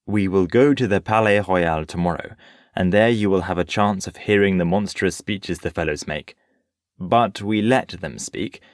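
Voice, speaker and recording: An actor playing a worldly, unruffled Parisian nobleman in an audio play, urbane and gently patronising. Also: sits low, normal speed, dry recording, studio quality, close to an everyday voice. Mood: amused.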